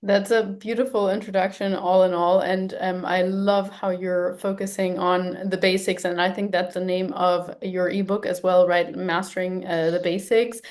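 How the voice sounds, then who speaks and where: A female podcast host speaks to her guest in an appreciative, casual way, with frequent ums. Her voice sits low and stays fairly flat in tone. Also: moderate pace, hardly any pauses. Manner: warm, appreciative, with filler ums. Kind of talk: casual interview chat.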